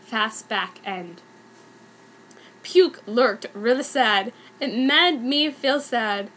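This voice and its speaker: Young woman w a really high voice doing a mock earnest dramatic fanfic reading, comedy style. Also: extremely slow, bright, forceful tone. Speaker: fan fiction reader. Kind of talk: dramatic reading of a fan story, played for comedy.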